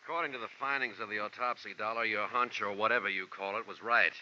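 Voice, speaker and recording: A man playing a matter-of-fact American homicide lieutenant giving an official report in deliberate 1940s radio style. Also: fairly low pitch, fairly even intonation, bright, forceful tone. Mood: official, dry. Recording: muffled vintage radio recording, faint noise.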